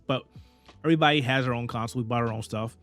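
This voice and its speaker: A man's voice: medium pitch, rapid-fire, a bit bright, faint music behind. A man running a small media outlet, talking casually to camera in an earnest, rambling way, often stumbling and restarting, with a voice that is wearing thin. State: losing his voice. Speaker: head of a small gaming and anime media outlet.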